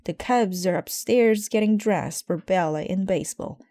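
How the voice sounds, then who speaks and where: A busy mother bear from a picture book, acted out by a woman reader. She sounds flustered and harried, her pitch lifted, with a mellow, dry tone. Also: normal speed, dry recording, lightly acted.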